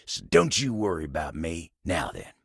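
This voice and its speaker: A chatty old-fashioned cowboy table from a video game. The voice is low and full of gravel, with a folksy Southern drawl that rises and dips freely. He rambles along politely, easygoing and a little bashful. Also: wildly swooping pitch, normal speed, a bit bright, no room sound, clean studio sound, heavily acted. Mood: friendly, reflective. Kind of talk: video game character dialogue.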